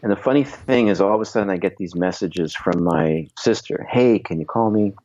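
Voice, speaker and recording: A man in his fifties, a guest musician telling candid stories in an interview, reflective and quick to laugh, often trailing off and restarting. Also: deep voice, a little mellow, muffled recording. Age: 50s.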